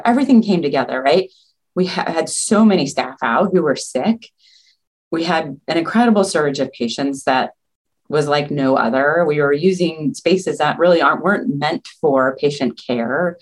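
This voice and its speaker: A woman, a nursing leader, speaking as a guest on a video call, earnest and talking in long run-on thoughts. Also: sits low. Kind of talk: casual interview chat.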